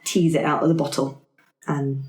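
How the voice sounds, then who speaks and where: Low-pitched woman player, echoey room. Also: little pitch movement, normal speed, a little mellow. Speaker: player in a tabletop roleplaying game. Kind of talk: casual improvised in-character chat. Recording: roomy, echoey tabletop recording.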